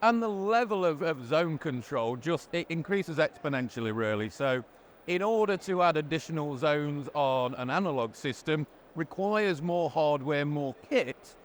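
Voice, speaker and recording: A man presenting a product explainer interview, talking in a measured, explanatory way on a trade show floor. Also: on the high side, lively intonation. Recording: faint background noise. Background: faint ambient noise.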